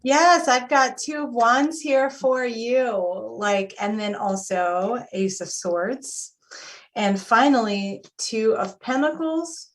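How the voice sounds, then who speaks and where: Woman calmly explaining tarot cards. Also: fairly high pitch. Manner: explanatory, measured. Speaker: tarot reader, guest. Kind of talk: casual chat and card reading. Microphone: video call.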